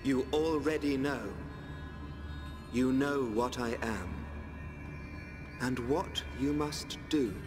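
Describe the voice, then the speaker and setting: Solemn slow-spoken oracle played by a man, cavernous reverb. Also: medium pitch, lightly acted. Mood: solemn, calm. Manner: solemn, measured, oracular. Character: calm enigmatic male guide who speaks of fate. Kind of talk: scripted video game cutscene acting. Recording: long hall reverb, orchestral music behind.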